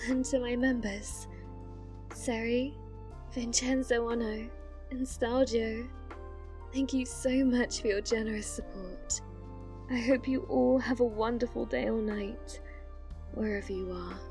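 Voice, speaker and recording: British woman, soft ASMR delivery, slightly high voice, unhurried, dry close mic. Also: fairly even intonation, soft background music. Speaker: ASMR channel creator. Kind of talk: soft-spoken ASMR sign-off.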